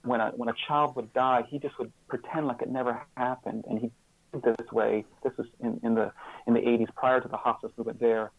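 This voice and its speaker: A man being interviewed as a guest, speaking reflectively and haltingly, often repeating words and restarting his sentences. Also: mid-range voice, flat delivery, no echo, narrow, tinny audio.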